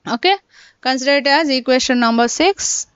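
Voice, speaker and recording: A high-pitched woman teaches an online class step by step, repeating points and checking in with students. Her voice is dry and dull, like lo-fi laptop audio. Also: normal speed, clean tone, dry recording. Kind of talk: live online class lecture. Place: indoors, teaching from a computer. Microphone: laptop mic.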